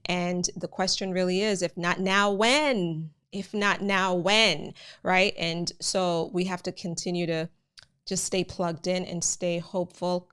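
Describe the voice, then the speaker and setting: A Jamaican woman hosts a live immigration update in a relaxed, chatty way and keeps turning to her viewers. Her voice sits somewhat low and sounds smooth and clear. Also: measured pace. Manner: chatty, addresses viewers as guys, many fillers. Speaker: immigration news host. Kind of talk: live channel talk with viewers.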